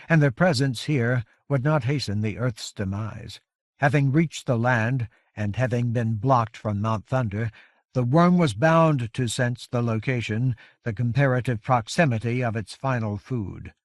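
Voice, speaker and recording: A man reads dramatic fiction as an audiobook narrator. His voice sits at a medium pitch and he tells the story in a measured, grave way. The sound is dry, with no room on it. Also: dry recording.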